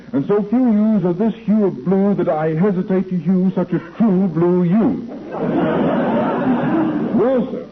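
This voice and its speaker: An actor playing a fussy, pompous town mayor in a 1940s radio comedy, speaking with precise self-importance. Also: male voice, sits high, full character voice. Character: fussy, pompous middle-aged town mayor, easily exasperated. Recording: hissy, tinny vintage radio recording.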